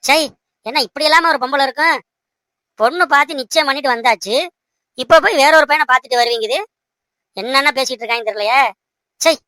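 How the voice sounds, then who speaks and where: Woman playing young cartoon bridegroom-to-be, high, bright, dry sound, earnest, protective, hot-headed, insistent. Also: a little fast, long pauses, no echo. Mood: indignant. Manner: emphatic, repetitive, insistent. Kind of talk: amateur cartoon dialogue in colloquial speech.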